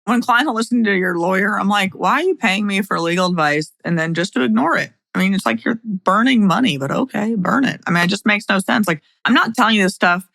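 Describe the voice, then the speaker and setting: A woman's voice: mid-range voice, expressive, fairly quick, creaky, hardly any pauses, fairly bright tone, dry recording. A middle-aged woman speaking as a podcast host and attorney, in a blunt, plain-spoken way. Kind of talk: scripted narration and opinionated podcast talk.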